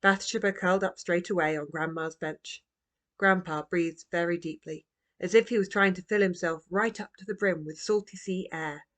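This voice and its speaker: A woman narrating a children's storybook as its author, in a gentle, expressive manner. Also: on the low side, normal speed. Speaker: author reading own book.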